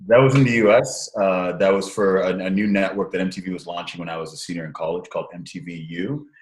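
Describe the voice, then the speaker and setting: A man in his thirties talking in a casual interview over a call, rambling with restarts and growing animated as he tells stories. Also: sits low, quick pace, reverberant. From Nigeria and Canada, raised partly in the US. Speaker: guest, social impact entrepreneur. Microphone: call recording.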